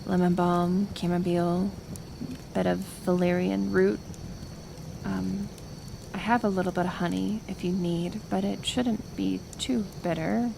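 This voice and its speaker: Woman acting a slow-spoken, gentle forest healer. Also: fairly low pitch, flat delivery, vocal fry, noisy background. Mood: gentle, careful. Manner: gentle, hesitant, caring but persistent. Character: kind, stubborn forest healer woman tending a wounded werewolf. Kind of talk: soft-spoken ASMR roleplay acting.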